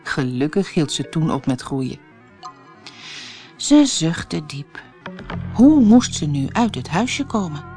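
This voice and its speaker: Animated woman reading a fairy tale. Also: low voice, slow pace, long pauses, soft background music. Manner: dramatic, carefully phrased storytelling. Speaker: audiobook narrator. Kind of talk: expressive children's fairy-tale narration.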